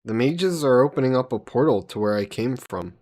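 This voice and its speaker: A man's voice: mid-range voice, expressive, fairly quick, darkish tone, lightly acted. A man playing a friendly, patient human hero from Earth in a roleplay audio drama, explaining things conversationally to a listener. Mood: fond, hopeful.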